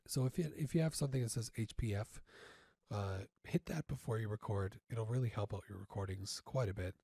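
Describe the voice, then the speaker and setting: A man informally instructing step by step in a software tutorial, halting and often checking in, on a close mic. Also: on the low side, gravelly, slightly rough, dry recording. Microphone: close studio mic through audio interface.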